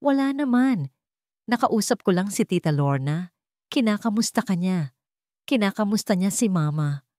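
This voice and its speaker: A woman's voice: medium pitch, animated, moderate pace, pauses often, warm tone, dry recording, clean studio sound, voice pushed up, lightly acted. Woman reading a worried, restrained husband. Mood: concealed worry.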